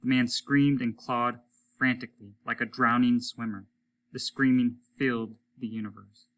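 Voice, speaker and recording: A man's voice: medium pitch, level tone, moderate pace, a bit bright. A man reading a story aloud as a teacher would, in dramatic storytelling narration.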